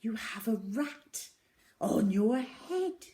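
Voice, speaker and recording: A woman voicing a ferocious, unpleasant little female schoolteacher in a storybook, with a drawn-out, incredulous delivery. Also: fairly high pitch, sing-song, warm tone, slight background noise, full character voice. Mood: stern disbelief.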